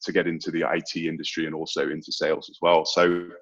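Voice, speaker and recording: A man's voice: a bass voice, little pitch movement, quick pace, lo-fi sound. A man in his thirties from Bermuda with a British accent touched by American and Australian sounds, chatting casually as an interview guest. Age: 30s. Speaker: guest, sales executive.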